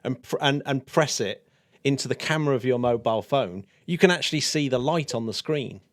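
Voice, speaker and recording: A man's voice: mid-range voice, fairly quick, dry recording, studio quality. A man hosting a casual science podcast, curious and thinking aloud, often repeating words. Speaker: podcast host.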